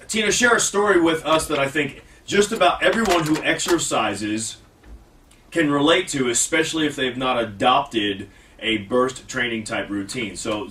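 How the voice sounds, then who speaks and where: A man's voice: mid-range voice, sharp tone, distant mic, a little hiss or hum. Rambling man hosting a radio fitness show. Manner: rambling, self-mocking, restarts often. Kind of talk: casual radio talk. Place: radio studio.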